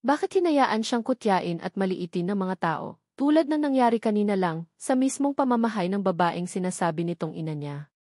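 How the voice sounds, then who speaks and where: A woman's voice: mid-range voice, animated, moderate pace, a little mellow, no echo, lightly acted. Woman reading as young working guy, hurt and doubting, audiobook drama, dry sound. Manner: wounded, doubting. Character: hurt, distrustful young working-class man of twenty-five.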